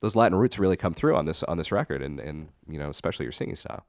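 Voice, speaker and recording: A man hosting a live radio show from the studio, asking eager and rambling questions that loop and restart. His voice is very low and he talks fast, recorded close in a dry booth. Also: lively intonation, darkish tone, dry recording. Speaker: radio host.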